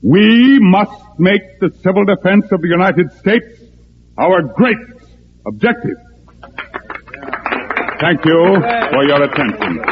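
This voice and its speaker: A man acting in a 1950s radio drama as a smooth, scheming leader of false patriots, with formal oratorical delivery. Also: sits high, expressive, takes it slowly, long pauses, noisy background. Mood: solemn, rousing. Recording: tinny band-limited old radio recording.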